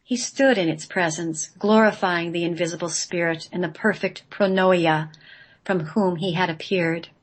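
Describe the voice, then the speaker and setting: A woman reading radio play narration in a measured, solemn manner. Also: lowish voice, breathy edge. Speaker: guest, playwright reading own play.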